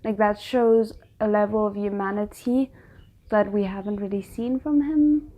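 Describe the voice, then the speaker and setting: A woman's voice: mid-range voice, steady tone, unhurried, mellow. A woman giving casual reaction commentary as a host, musing aloud in hesitant fragments.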